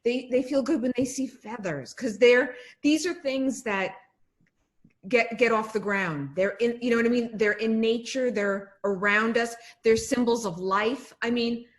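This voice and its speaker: A woman's voice: fairly high pitch, measured pace. A woman curator interviewing an artist over a video call, speaking effusively about the artwork. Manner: effusive, enthusiastic. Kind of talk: interview talk.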